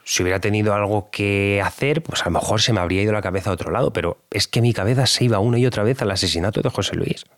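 A man's voice: fairly low pitch, rapid-fire, hardly any pauses, no echo, clean studio sound, lightly acted. A man playing a civil guard who recounts an obsessive cold case conversationally and reflectively, as in a documentary interview. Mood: candid, musing. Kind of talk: documentary-style interview testimony.

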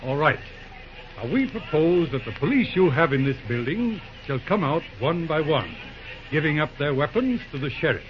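A muffled old radio drama recording of a man playing a folksy, crafty judge. His voice sits fairly high and swings widely as he makes peace in courtly, mildly mocking phrases, stumbling now and then. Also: very animated. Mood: smoothly courteous. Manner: courtly, deliberate, slightly mocking politeness. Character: folksy, wily, calm judge, a railroad town's peacemaker. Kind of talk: old-time radio western drama acting.

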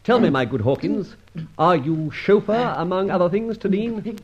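A man playing a suave, menacing gentleman adventurer in an old radio serial, mock-polite and commanding, with clipped questions. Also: high-pitched, moderate pace, husky. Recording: dull, lo-fi old radio recording.

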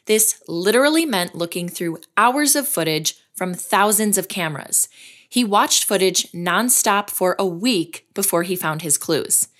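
A woman narrating an audio drama in an even, measured way. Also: lowish voice.

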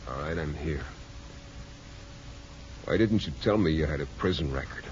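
An actor playing a wounded, suspicious husband in 1950s American radio drama, intense and accusing in a clipped manner. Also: male voice, a bass voice, moderate pace. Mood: bitter accusation. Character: wounded, suspicious, angry young husband and father. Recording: dull, faintly noisy old radio recording.

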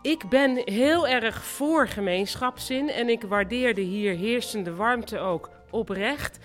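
A woman's voice: fairly high pitch, slow, soft background music. An actress playing an earnest, self-righteous young woman in a live audio drama, narrating and speaking with wry sincerity. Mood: earnest, uneasy.

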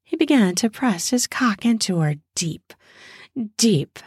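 A woman narrating a scripted erotic story in an intimate, deliberate manner with drawn-out phrasing. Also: mid-range voice, very animated, darkish tone, breathy edge, no room sound, clean studio sound.

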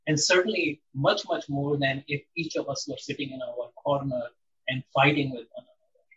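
A man in his sixties from India, answering interview questions in a measured, reflective way with frequent pauses and ums. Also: mid-range voice, fairly even intonation, a bit bright, distant mic, dull audio, slight background noise. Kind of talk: interview conversation.

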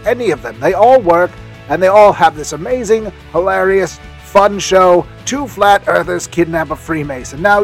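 A man playing an eccentric, rambling podcast host who interrupts himself while chattily making recommendations. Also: sits high. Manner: rambling, self-interrupting, swinging from muttering to hearty. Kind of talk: chatty promotional monologue.

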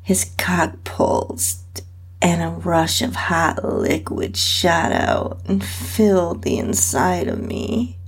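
A woman host reading a first-person erotic story in an intimate, sensual, drawn-out and breathy way. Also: fairly low pitch. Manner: breathy, sensual, drawn-out delivery with soft moans. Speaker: podcast host and narrator reading own story.

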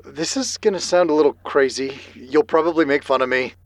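Actor as a higher-voiced, hesitant male caller. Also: fairly quick, no room sound, natural voice. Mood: nervous, relieved. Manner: hesitant, self-conscious. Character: nervous, hesitant male radio caller, grieving son. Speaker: caller to a radio show. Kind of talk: naturalistic audio drama dialogue.

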